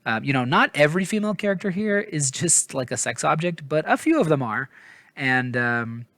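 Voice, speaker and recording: A man's voice: a little high, expressive, normal speed, a bit bright, no echo. A man giving a casual, rambling book review in an informal, hesitant, self-deprecating way, often stumbling over his words. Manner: informal, hedging, apologetic.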